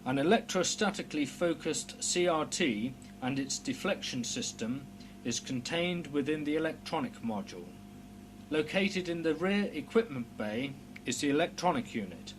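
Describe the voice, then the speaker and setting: A man reading a scripted technical instructional narration in a formal, measured, precise explanatory manner. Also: animated, rough recording. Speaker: narrator of a technical training film. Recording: clear background noise with low hum.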